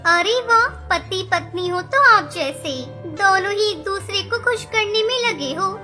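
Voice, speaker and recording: A woman's voice: very high-pitched, lively intonation, measured pace. A woman voicing a clever, bold little mother bird in a children's cartoon story, emphatic and scolding. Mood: flattering. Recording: music behind the voice.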